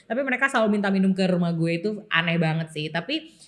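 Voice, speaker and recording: A woman's voice: lowish voice, lively intonation, quick pace, fairly bright tone. A young woman with a Jakartan Indonesian accent, hosting a casual podcast chat in a chatty, informal way. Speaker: podcast host.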